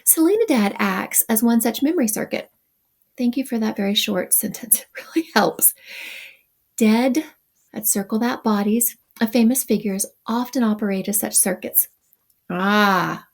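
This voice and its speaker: A woman's voice: a little high, moderate pace. A woman teacher reading a text aloud to her students, stopping for wry asides and explanations. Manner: reads passages then adds wry asides.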